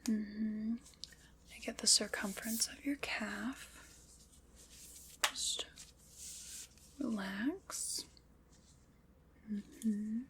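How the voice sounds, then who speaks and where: A woman whispering breathily in an ASMR roleplay, soft, unhurried, formal and reassuring. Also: medium pitch, slight background noise.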